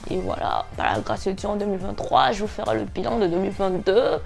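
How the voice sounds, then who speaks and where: A woman of nineteen chatting casually to camera as a vlogger, in an animated, slangy way with English words mixed in. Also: medium pitch, fast talker, gravelly, faint music behind. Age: 19, nearly twenty.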